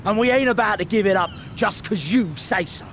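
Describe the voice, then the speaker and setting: An actor playing a defiant pirate with rough, blunt speech in a game cutscene. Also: male voice, high voice, a little fast, fairly bright tone, a little hiss or hum. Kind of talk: video game cutscene acting.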